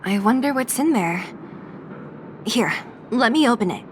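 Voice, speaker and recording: A woman's voice: a little high, lively intonation, unhurried, slight background noise. A woman playing a cautious, curious young adventurer in a video game, speaking thoughtfully. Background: clear music.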